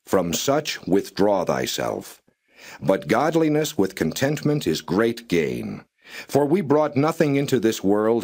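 A man narrating the Bible as a straight, non-dramatized reading, in formal, measured and solemn phrasing. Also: medium pitch, darkish tone.

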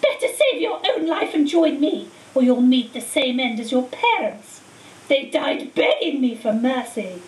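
Woman doing a cold, hissing dark lord. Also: high voice, sing-song. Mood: taunting. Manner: slow, menacing, shrieks commands. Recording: echoey room, distant mic, faint hiss.

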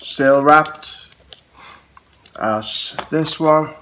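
A man in his forties with a cold, chatting casually in a low-key, halting way as he hosts a hobby unboxing vlog. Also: pushed and bright. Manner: halting, low-key, short clipped phrases. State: has a cold. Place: small room at home, indoors, on a couch. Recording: clear background noise, handling clicks.

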